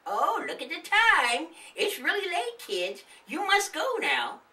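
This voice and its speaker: A woman's voice: very high-pitched, very animated, slow pace, bright, forceful tone, voice pushed up, full character voice. A woman voicing an old wife in a children's story, scolding and motherly by turns, recorded roomily at a distance. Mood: kindly welcoming. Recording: roomy, distant microphone.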